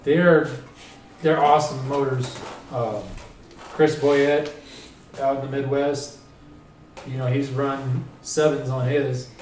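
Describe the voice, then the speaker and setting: Relaxed forty-year-old man, distant mic. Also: medium pitch, slight background noise. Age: 40s. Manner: relaxed, fills pauses with um. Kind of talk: casual round-table chat. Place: car shop. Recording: roomy room, distant mic, faint ambience.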